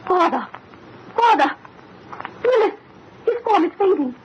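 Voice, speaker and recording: An actress playing a determined, grieving young daughter with an intense, imploring manner in 1930s radio horror. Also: female voice, very high-pitched, sing-song, a little slow, exaggerated voice. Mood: distraught. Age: young adult. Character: determined, grieving young daughter avenging her murdered father. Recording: muffled old radio recording with hiss.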